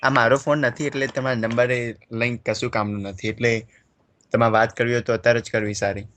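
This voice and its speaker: A man's voice: medium pitch, flat delivery, brisk, fairly bright tone, slight background noise. A man with a colloquial Gujarati accent making a prank phone call, insistent and mock-earnest. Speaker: prank caller.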